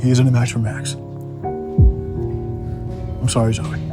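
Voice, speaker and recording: A man's voice: mid-range voice, level tone, a little slow, lightly acted. An actor playing a grave, concerned man in an audio drama, speaking in a sombre, careful way. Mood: grave, apologetic. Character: grave, concerned man breaking bad news. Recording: guitar music behind the voice.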